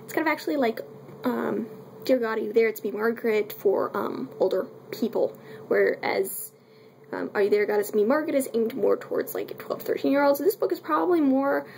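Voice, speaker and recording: Woman, high creaky voice, casual book review, candid, irreverent, wry asides, faint background noise. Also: animated, fairly quick, darkish tone.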